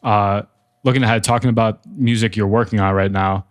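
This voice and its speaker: A man's voice: fairly low pitch, fairly even intonation, fairly quick. A man hosting a podcast, asking questions in a casual, upbeat, slangy way. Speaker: podcast host. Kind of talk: casual interview.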